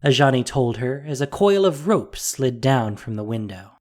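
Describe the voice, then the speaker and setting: Expressive audio drama narrator. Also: mid-range voice, normal speed. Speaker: podcast narrator and producer.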